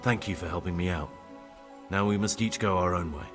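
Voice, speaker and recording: A man's voice: deep voice, a little fast, over music, lightly acted. A man playing a defiant, embittered medieval outlaw on the run in a video game cutscene. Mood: guarded.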